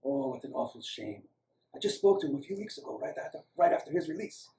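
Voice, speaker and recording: A man voicing a gentle, courteous older male doctor with an Eastern European accent in a story, speaking hesitantly. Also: high-pitched, racing, pitched above the performer's own voice, natural voice. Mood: sorrowful. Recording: roomy, echoing, distant microphone.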